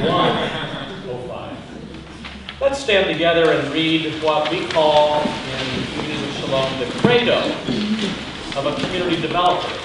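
A man's voice: a little high, noisy background. A man leading a chapel service, making announcements and inviting the congregation to read together. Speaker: worship leader. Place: large chapel hall. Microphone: far room recording. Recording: echoey hall, far from mic, music behind.

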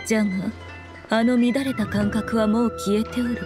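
A woman voicing a sly, regal fox shrine maiden in an anime game, with measured, knowing, old-fashioned diction. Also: on the high side, little pitch movement, over music, natural voice. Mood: cool, pondering. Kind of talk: Japanese anime game voice acting.

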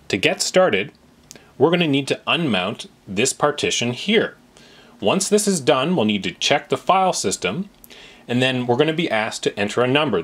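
Man narrating a tutorial with very lively pitch. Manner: instructional, plain-spoken, addresses viewer directly. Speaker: tech tutorial presenter. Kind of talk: step-by-step software tutorial narration.

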